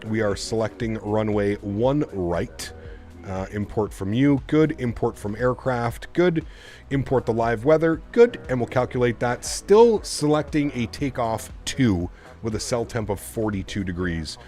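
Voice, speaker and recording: A man's voice: mid-range voice, expressive, unhurried, darkish tone, soft background music. A man streaming a flight simulator, thinking aloud in a casual, chatty way and asking his viewers for their opinions. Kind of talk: casual live-stream commentary while programming a flight computer.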